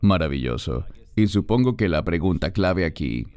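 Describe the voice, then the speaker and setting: A man hosts an interview show with a lowish voice that moves a lot in pitch. He asks measured, courteous questions. The sound is dry and close, with no room around it. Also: animated, darkish tone, dry recording. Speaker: interviewer and program host. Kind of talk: scripted host introduction and interview questions.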